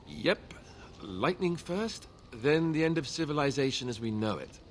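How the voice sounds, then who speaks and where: An actor playing a lovestruck young warrior in a video game, earnest and wryly self-mocking. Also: male voice, mid-range voice, big pitch swings, measured pace, slight background noise. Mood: wry, teasing. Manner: earnest, teasing, wryly joking. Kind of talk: video game dialogue acting.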